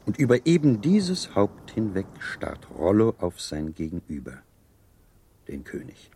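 An actor in a German radio play playing a gallant, teasing seducer who tells stories in a suave, theatrical way. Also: male voice, lowish voice, huge pitch swings, measured pace, gravelly, mellow, a little hiss or hum, full character voice. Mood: suave, dramatic storytelling. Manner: theatrical, suave, savouring storytelling.

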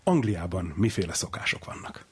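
A man's voice: deep voice, big pitch swings, darkish tone, husky. A man hosting a casual studio conversation, asking questions and commenting. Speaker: radio show host.

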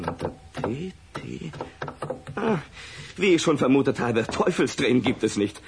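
An actor playing a friendly, amused pharmacist in a radio play, helpful and chatty. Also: male voice, mid-range voice, noisy background. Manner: helpful, chatty, muttering as he works.

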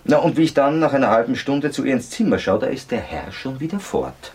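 A man's voice: mid-range voice, expressive, fairly quick, darkish tone, breathy edge. An actor playing a talkative, troubled Viennese man in a radio drama, colloquial, rambling and hesitant. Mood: hesitant, recounting. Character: talkative, troubled Viennese cousin of a stricken girl.

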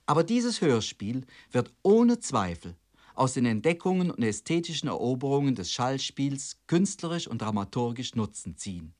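A man reads a scripted radio lecture as a commentator, formal and measured, phrasing long sentences precisely. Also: medium pitch, very animated, darkish tone.

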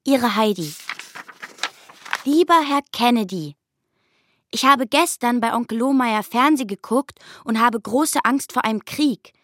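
Anxious young girl, high childlike voice, dry close sound, earnest pleading radio-play delivery. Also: a bit bright, no echo. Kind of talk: German radio play acting.

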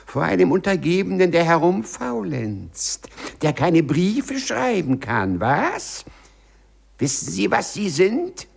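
A small office clerk with a secret spiteful streak, in old radio drama style. His delivery is slow and theatrical, leaping widely in pitch, with a husky edge. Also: sing-song, heavily acted. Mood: taunting triumph. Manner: theatrical, muttering to himself, mock-servile. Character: meek male office clerk turned spiteful, gleeful avenger. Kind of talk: 1950s German radio play acting. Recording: 1950s radio production.

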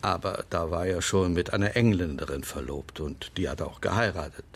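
An actor playing a frail old lawyer in a radio play, guarded and sardonic, speaking in a measured, formal and pointed way. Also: male voice, deep voice, breathy edge. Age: older.

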